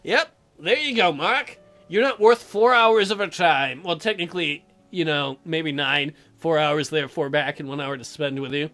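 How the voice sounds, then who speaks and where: A man's voice: fairly high pitch, moderate pace, a bit bright. A man hosting a let's play, mixing sarcastic, mocking asides with read-aloud narration of a visual novel.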